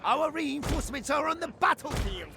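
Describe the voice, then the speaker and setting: A man's voice: very high voice, lively intonation, normal speed, gravelly, background noise, heavily acted. A man playing a fierce, urgent samurai battle herald in a game cutscene, declaiming in exclamations over music. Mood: triumphant. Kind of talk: dramatic game cutscene acting. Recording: music behind the voice. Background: cinematic music.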